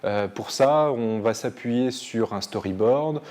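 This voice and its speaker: A man's voice: mid-range voice, slow pace, a little mellow. A man in an interview explaining the animation stage, pointing out examples as he goes.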